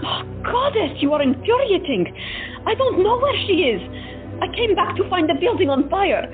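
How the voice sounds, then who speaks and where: A woman's voice: very high voice, very animated, moderate pace. A woman playing Stella, a caring but flustered doctor in 1917, speaking emotionally to patients and relatives. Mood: defensive. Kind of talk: amateur audio drama acting. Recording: tinny phone-like sound, faint music.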